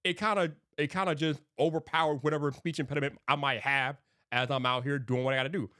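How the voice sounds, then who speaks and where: Tech streamer, man with a lisp, slightly high voice, earnest and rambling, dry close mic. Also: steady tone, fast, a bit bright, clean tone, no echo. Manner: earnest, rambling, sometimes hypes viewers. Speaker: tech YouTube creator live streaming. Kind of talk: casual livestream talk to chat.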